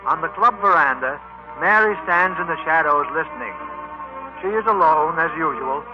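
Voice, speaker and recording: High-pitched vintage radio narrator, male. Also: expressive, moderate pace. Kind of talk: 1940s scripted narration. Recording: dull lo-fi 1940s radio recording. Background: music.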